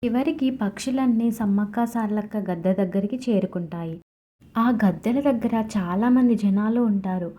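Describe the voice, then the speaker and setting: A woman's voice: mid-range voice, moderate pace, warm tone. A woman narrator reading a scripted story for children.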